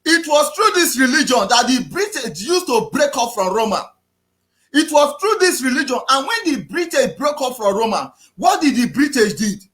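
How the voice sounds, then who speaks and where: Man, Nigerian accent, very high voice, preachy, repetitive, shouts often. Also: sing-song, moderate pace. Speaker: activist speaker. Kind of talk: impassioned political talk.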